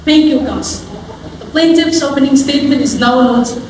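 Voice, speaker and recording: A woman acting as a composed female judge with a high voice and Filipino accent. She speaks formally in a courtroom play, heard across a very echoey, noisy hall. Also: normal speed, natural voice. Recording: distant, echoey hall with noise.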